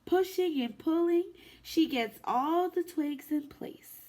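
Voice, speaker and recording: A woman preschool teacher reading a picture book aloud to young children in an expressive, sing-song way. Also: very high voice, slow. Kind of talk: children's story time read-aloud.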